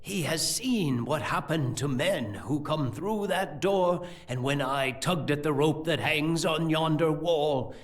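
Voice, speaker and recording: A man playing a learned, scheming sorcerer-priest in a fantasy audio drama, speaking in a deliberate, formal, explanatory way. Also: on the high side, soft background music. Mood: calm, ominous.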